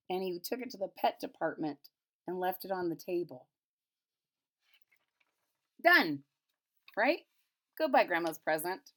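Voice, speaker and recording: Woman reading a children's picture book aloud. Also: medium pitch. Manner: explains and recaps simply for young listeners. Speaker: storybook reader. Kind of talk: children's picture-book read-aloud with retelling in plain words.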